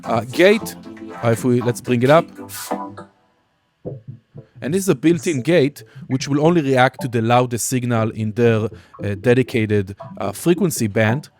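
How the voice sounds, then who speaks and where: Male music production instructor, casual and explanatory, mid-pitched voice, clear music behind the voice. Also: measured pace. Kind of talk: screen-recorded software tutorial, informal walkthrough.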